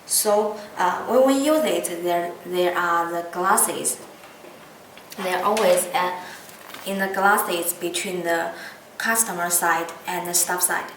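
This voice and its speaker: Woman, non-native English, slow hesitant delivery, product demo, roomy echo, distant from the mic. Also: on the low side, a bit bright, a little hiss or hum. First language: not English. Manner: halting, hesitant speech with frequent pauses mid-phrase. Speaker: product demonstrator. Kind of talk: unscripted product demonstration.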